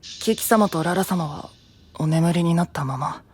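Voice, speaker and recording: Cool young male knight: lightish high voice, guarded, blunt, detached, anime audio drama. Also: a little slow, a little mellow, breathy edge. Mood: calm, guarded. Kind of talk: anime-style voice drama acting.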